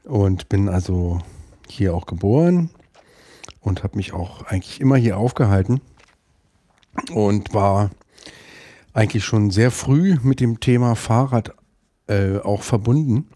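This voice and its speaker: A middle-aged man with a Berlin accent, guesting on a podcast and talking in a thoughtful, hesitant way with many pauses and restarts. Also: fairly low pitch, expressive, warm tone. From West Berlin, Germany. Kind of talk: casual interview conversation.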